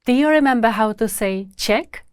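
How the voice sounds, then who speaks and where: A woman teaching a scripted language lesson, repeating each word slowly with pauses. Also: a little high, noisy background. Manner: repeats each word slowly and deliberately, pausing between repetitions.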